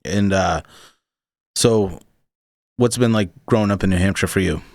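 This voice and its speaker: A man hosting a podcast, asking questions in a relaxed, casual way with hesitant fillers. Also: sits low, moderate pace, dry recording, studio quality. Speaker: podcast host. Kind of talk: casual interview.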